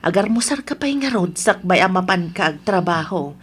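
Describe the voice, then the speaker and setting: Husky, caring elderly wife played by an actress. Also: female voice, fairly low pitch, lively intonation, moderate pace. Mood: gently worried. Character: worried, caring elderly wife and mother of grown children. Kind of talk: radio drama acting.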